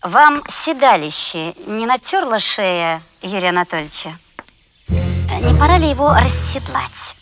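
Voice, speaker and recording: An actress playing a prim, sarcastic Soviet-style hotel administrator in an animated comedy, pointed and bureaucratic in her delivery. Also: on the high side, unhurried, soft background music, heavily acted.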